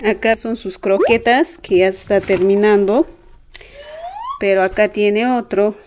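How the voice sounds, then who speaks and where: A woman's voice: mid-range voice, a little slow, a little mellow, slight background noise. A Peruvian-accented woman in her thirties chatting affectionately in a casual home vlog, full of diminutives. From Peru. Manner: affectionate, uses many diminutives. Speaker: vlogger. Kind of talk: casual family vlog chat. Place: indoors at home. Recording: music playing behind the voice.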